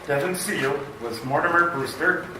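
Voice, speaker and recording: Casting: male radio announcer reading credits, highish voice, heavy reverberant room, loud noise behind. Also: normal speed. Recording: echoey stage, distant, loud hiss.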